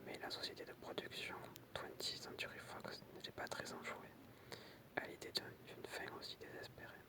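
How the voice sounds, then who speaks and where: Whispering ASMR reader. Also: moderate pace. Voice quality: unvoiced, breathy whisper. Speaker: ASMR creator reading film trivia with commentary. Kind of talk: ASMR, whispered reading of anecdotes with casual asides.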